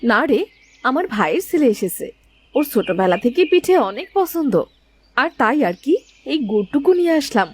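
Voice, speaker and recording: Woman playing a doting, high-voiced village aunt. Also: big pitch swings, normal speed, slightly rough, exaggerated voice. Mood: fond, chatty. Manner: motherly, chatty. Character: doting, scolding middle-aged village aunt. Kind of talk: cartoon character dialogue. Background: music.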